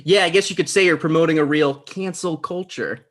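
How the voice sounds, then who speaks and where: A man's voice: on the high side, a little fast, fairly bright tone. A man playing an awkward, pedantic but well-meaning talk show host, mild and conversational, in a comedy table read.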